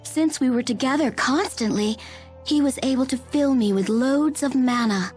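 A woman's voice: high-pitched, moderate pace, a little mellow, faint music behind, close to an everyday voice. A woman playing an airy, coquettish young female spirit in a video game dub. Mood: sweet.

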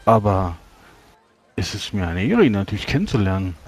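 A man with a low, husky voice plays a character in a tabletop game. His pitch moves widely and his wording is polite and formal. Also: sing-song, fairly quick, darkish tone. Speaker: roleplaying game player.

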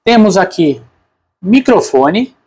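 A man's voice: sits high, very animated, slow pace, a little mellow, slightly rough. A man with a Brazilian Portuguese accent narrating a product unboxing casually and unscripted, informally thinking aloud as a reviewer.

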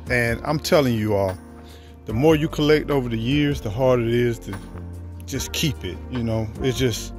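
A man, casually vlogging about his homestead outdoors, filmed on a handheld camera at arm's length. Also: mid-range voice. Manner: relaxed, conversational, drops to near whisper at times. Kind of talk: casual walking vlog. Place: outdoors on a wooded rural homestead.

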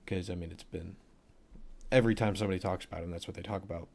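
A young man with a cold and a voice deeper than usual, casually rambling through a football film breakdown with plenty of fillers. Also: steady tone, quick pace, vocal fry, darkish tone. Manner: rambling, offhand, thinks aloud with many fillers.

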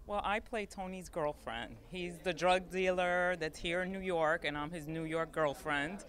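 A woman's voice: on the low side, slow, fairly bright tone. A middle-aged woman, an actress, chatting casually as an interview guest on a handheld microphone. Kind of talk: casual red-carpet interview in English. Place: indoor event backdrop.

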